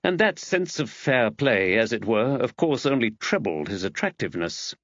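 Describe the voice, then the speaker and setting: A man narrates a literary audiobook in a measured, expressive way. His pitch is middling but moves about a great deal, and the recording is dry and slightly dull. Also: dry recording.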